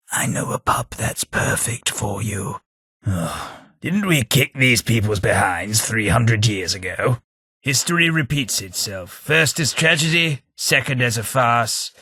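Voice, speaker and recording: A snobbish gentleman for a game, dripping with dry sarcasm and haughty disdain. His voice is bright and husky, and he takes his time over each line. The recording is dry and close. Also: lowish voice, no room sound, full character voice. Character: snobbish sardonic London gentleman companion. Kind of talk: game companion barks.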